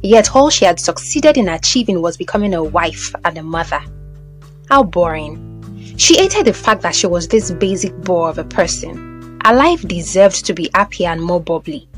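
Female narrator, Nigerian accent, dramatic scripted storytelling, lively intonation, music bed. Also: lowish voice, moderate pace.

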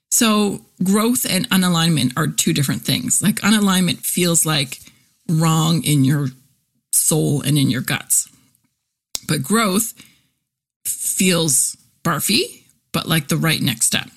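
A woman in her forties hosting a casual solo podcast, chatty and candid, full of fillers and easy laughs. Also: deep voice. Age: 40s. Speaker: podcast host, copywriter and content teacher.

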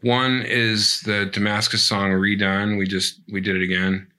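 Man, middle-aged, low bright voice, rambling, swears a lot, punk musician chatting. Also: little pitch movement, measured pace. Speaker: punk band member. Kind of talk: casual profane chat.

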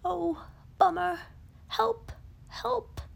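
A woman voicing a gentle, bumbling bear who loves honey, with a soft, plaintive manner. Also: extremely high pitch, fairly even intonation, slow pace, creaky, voice pushed up, exaggerated voice. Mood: distressed.

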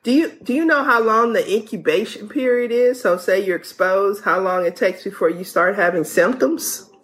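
A woman's voice: mid-range voice, moderate pace, a little hiss or hum. A woman podiatrist, a guest on a radio call-in show, chatting and asking questions with frequent restarts.

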